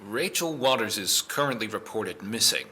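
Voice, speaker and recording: A man playing a firm, methodical police officer in an audio drama, speaking in a formal, deliberate, official manner. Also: fairly low pitch, animated, bright, forceful tone. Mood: grave, official.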